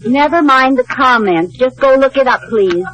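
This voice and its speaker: An American actress playing a flustered, vain housewife with theatrical indignation, in 1950s radio sitcom style. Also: female voice, high voice, expressive, normal speed, crowd noise, rough recording. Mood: coy, embarrassed. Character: flustered, vain housewife, touchy about her age.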